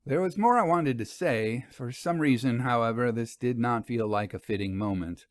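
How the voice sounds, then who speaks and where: Measured male storyteller, dry close recording. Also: medium pitch, no echo. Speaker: storyteller, audiobook narrator. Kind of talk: scripted first-person story narration.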